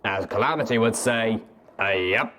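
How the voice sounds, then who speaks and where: A stoic, war-weary soldier stallion from an audio story, played by a man. He talks low and measured, subdued and gruff, over clearly noisy audio with clicks. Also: pushed and bright. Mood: businesslike, firm.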